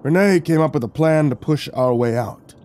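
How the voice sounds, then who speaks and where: A man's voice: a little high, moderate pace, darkish tone, voice pushed up, close to an everyday voice. A man voicing a young woman in a spoken horror story, bitter and full of guilt as she recounts a loss. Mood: grieving.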